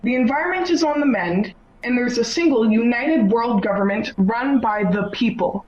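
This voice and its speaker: A woman's voice: on the high side, normal speed, darkish tone, roomy sound, muffled recording, natural voice. A woman playing a frank, optimistic doctor in a sci-fi radio play, explaining things. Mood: reassuring.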